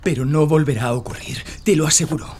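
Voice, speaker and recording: An actor playing a domineering, jealous arms-dealer husband in an audio drama. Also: male voice, on the high side, fast talker, a little mellow, a little hiss or hum, natural voice. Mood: furious. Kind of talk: Spanish audio fiction drama.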